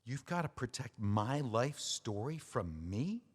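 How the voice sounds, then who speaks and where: An actor playing a grieving widower who answers with bitter sarcasm and wounded anger. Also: male voice, mid-range voice, animated, a little slow, slightly rough, long reverb tail. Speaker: author. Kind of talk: audio drama acting.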